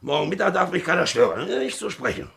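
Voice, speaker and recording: Rambling drunken sea captain, creaky voice. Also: male voice, sits high, sing-song, quick pace, a bit bright, full character voice. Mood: barking, grandiose. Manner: barks orders, then drifts into dreamy muttering. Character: drunken ship's captain, father, barking and maudlin by turns. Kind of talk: 1950s German radio play acting. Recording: noisy 1950s radio recording.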